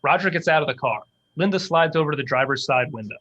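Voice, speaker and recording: A man's voice: fairly high pitch, expressive, fast talker, pushed and bright, highs cut off. A man narrating stage directions from a screenplay as scripted narration.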